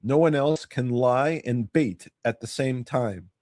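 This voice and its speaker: A man's voice: medium pitch, slow, a little mellow, dry recording. Stuffy, nasal man rambling casually. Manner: rambling, self-correcting, dry reading of jokes. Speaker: legal news commentator. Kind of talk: casual solo commentary.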